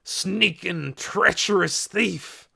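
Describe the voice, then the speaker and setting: A man's voice: mid-range voice, big pitch swings, measured pace, creaky, husky, heavily acted. Man putting on a blustering, hot-tempered trader. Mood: enraged. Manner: blustering, shouting accusations. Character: scowling hot-tempered bullying male roamer trader, a father. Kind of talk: audiobook character acting.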